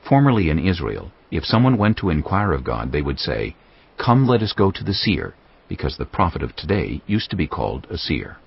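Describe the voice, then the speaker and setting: A man narrating a dramatized Bible story in a measured, scripted storytelling style. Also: low voice, very animated, gravelly, breathy edge. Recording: slightly dull sound.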